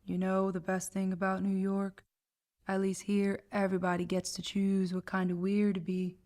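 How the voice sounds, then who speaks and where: Girl doing a cynical slacker roommate, radio comedy, monotone deadpan, slangy, too cool for everything. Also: medium pitch, moderate pace, lightly acted. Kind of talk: comedic radio drama acting.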